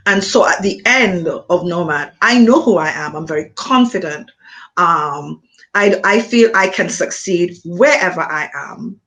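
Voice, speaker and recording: A woman from Montserrat with a Montserratian accent, an author being interviewed, speaking thoughtfully with frequent pauses and ums. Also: on the low side, a bit bright. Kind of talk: reflective conversation.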